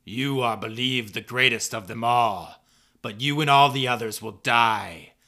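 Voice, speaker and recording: A man voicing a towering, menacing cosmic supervillain from a comic-book story, with slow, booming, grandiose proclamations. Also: bright, forceful tone.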